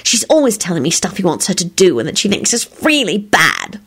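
A woman's voice: on the low side, wildly swooping pitch, a little fast, a bit bright, slightly rough, heavily acted. A woman voicing a brazen, coy young woman who gossips in a squealing, singsong way for a story. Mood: gleeful gossip.

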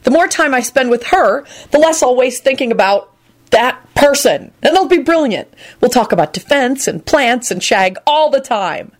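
Female narrator as insecure lovestruck young man, stubborn, emphatic, blurting, animated swooping pitch, storybook character. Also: brisk. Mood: resolute. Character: excitable, insecure young man in love, stubborn and hot-tempered.

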